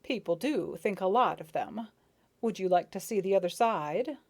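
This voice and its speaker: A woman's voice: mid-range voice, lively intonation. A woman voicing a good-natured but pompous male rose beetle who talks indulgently and flares into anger. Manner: indulgent, self-satisfied, quick to anger.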